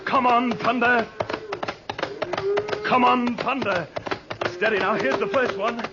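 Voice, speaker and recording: A man's voice: very high-pitched, steady tone, takes it slowly, full character voice. A man playing a resolute, earnest cowboy hero with a commanding manner in an old radio western. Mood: urgent. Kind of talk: 1940s radio western acting. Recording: very noisy muffled old radio recording.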